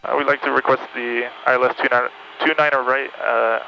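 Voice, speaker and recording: Gravelly, bright-voiced male pilot on cockpit intercom. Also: mid-range voice, level tone, a little fast. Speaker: pilot flying practice approaches. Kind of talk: radio calls and casual cockpit chat. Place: small aircraft cockpit in flight. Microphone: aviation headset. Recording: dull cockpit intercom audio, faint engine noise.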